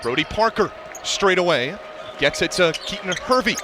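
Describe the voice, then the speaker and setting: A man's voice: sits high, expressive, normal speed. A man calling live basketball play-by-play in clipped, vivid running description, following the action moment by moment. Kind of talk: live sports commentary. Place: courtside in a large indoor arena. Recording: loud arena crowd noise behind voice. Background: crowd and bouncing basketball.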